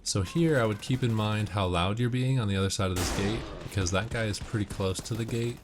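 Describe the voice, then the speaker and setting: Low-voiced man giving casual game commentary. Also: brisk, a little hiss or hum. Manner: explanatory, matter-of-fact. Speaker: gameplay commentator giving tips. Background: video game audio with music and gunfire.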